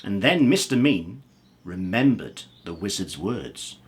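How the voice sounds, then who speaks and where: Very animated male children's storyteller. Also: deep voice, measured pace.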